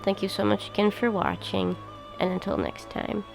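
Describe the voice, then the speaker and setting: A woman hosting a history channel, reading a prepared script in a steady, measured way while still getting over a cold. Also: mid-range voice, vocal fry, over loud music, poor audio. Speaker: host of a history video channel.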